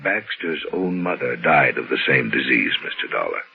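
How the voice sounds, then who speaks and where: An actor playing an earnest, dignified doctor in measured, formal 1950s radio drama style. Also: male voice, extremely low pitch, lively intonation, creaky, slightly rough, soft background music, heavily acted. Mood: solemn. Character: earnest, dignified doctor running a clinic, mature man. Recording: muffled old radio recording, background noise.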